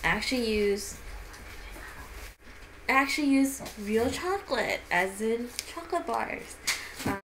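A woman's voice: on the high side, animated, a little slow, long pauses, background noise. A young woman in her twenties casually talking through a baking recipe in her home kitchen, with lots of fillers and restarts. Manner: chatty, with frequent fillers and restarts.

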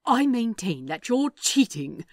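An indignant, fond male angel from fan fiction performed by a woman. He speaks slowly and prissily, with precise emphasis and swooping expression, in a dry close recording. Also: fairly high pitch, big pitch swings, no room sound, full character voice. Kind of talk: fan fiction dialogue reading.

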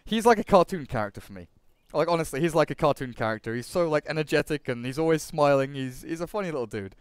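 English guy calling a game draft, fairly high clear voice, dry close mic, chatty and quick. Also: animated, no room sound. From England. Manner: chatty, quick asides, repeats words. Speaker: esports commentator. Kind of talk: esports draft commentary.

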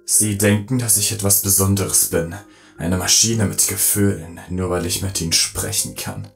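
Man playing a gravelly, bitter robot. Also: deep voice. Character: bitter, enslaved male robot turning vengeful. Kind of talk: scripted audio drama.

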